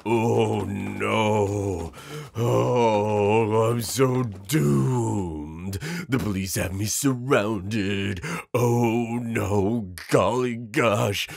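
A man playing a cocky, sarcastic werewolf outlaw in an audio roleplay, mocking and flippant with gravelly, hesitant asides. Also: on the low side, very slow, darkish tone, dry recording, exaggerated voice.

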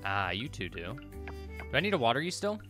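A man's voice: medium pitch, sing-song, normal speed, music in the background. A man in his thirties doing casual gameplay commentary, hesitantly thinking aloud and repeating himself as he mutters. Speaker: game streamer. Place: indoor room, streaming at a computer.

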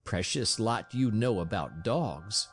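A man's voice: mid-range voice, wildly swooping pitch, slow pace, faint music behind, pitched above the performer's own voice. A man voicing an arrogant, impatient young man in an audiobook, curt, sneering and insistent.

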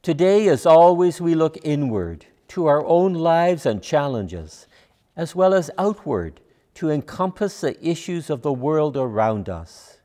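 An older man, a minister, leads a pastoral prayer from a church pulpit in measured, solemn phrases. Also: a little high, very animated, dark tone. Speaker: minister leading worship.

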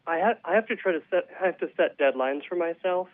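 Male interview guest, slightly high-pitched, thoughtful and conversational, heard through a narrow telephone connection. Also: fast talker. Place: phone call to a radio show. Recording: tinny narrow phone line.

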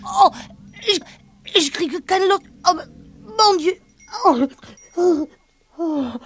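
An actress playing a mystical, dramatically frail spirit medium in an audio drama, halting and breathy. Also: female voice, very high voice, a bit bright, heavily acted. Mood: exhausted, gasping. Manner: slow, halting, breathy séance delivery. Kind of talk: radio play acting.

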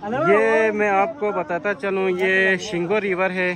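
A man's voice: sits high, level tone, slow, crowd noise. Chatty man vlogging outdoors. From Pakistan. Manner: enthusiastic, chatty, with frequent "ji" and "e" fillers. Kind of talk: outdoor vlog narration. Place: outdoors by a river.

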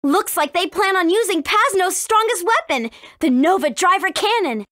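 A woman's voice: very high-pitched, lively intonation, normal speed, a bit bright, dry recording, studio quality. A woman playing a spirited, earnest young girl adventurer in a video game, speaking expressively. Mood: curious.

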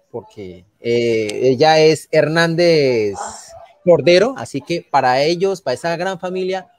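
A man from Santander, Colombia, with a Santander Colombian accent, talking as a panelist on a live online show, animated and full of restarts and repeated words. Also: a little high. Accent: Santander Colombian Spanish, from Barrancabermeja. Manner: animated, rambling with many restarts, indignant. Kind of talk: casual live online talk.

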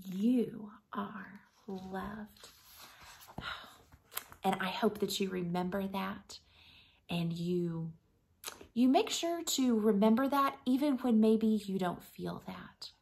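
A woman in her thirties reading a picture book to children like a schoolteacher, gently and expressively, softening to a breathy hush at line ends. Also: mid-range voice, takes it slowly, a little hiss or hum. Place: small living room at home.

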